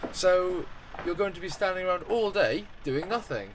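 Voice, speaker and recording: A man performs an ordinary man in a radio play, courteous yet thoroughly exasperated and baffled. His pitch moves around a great deal, and there is clear background noise. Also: animated, normal speed, rough recording. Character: exasperated, polite, bewildered ordinary Englishman. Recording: background noise, music and ambience behind.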